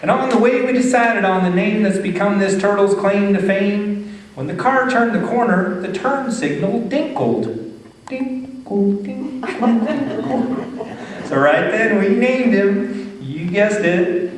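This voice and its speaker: A man's voice: high voice, animated, slow pace, a crowd in the background. Echoey, theatrical Texan man reciting verse. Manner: folksy, theatrical, playful drawl. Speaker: storytelling poet performer. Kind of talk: dramatic live poetry reading for children and families. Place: live reading in an echoey room. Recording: echoey room, distant mic, faint noise.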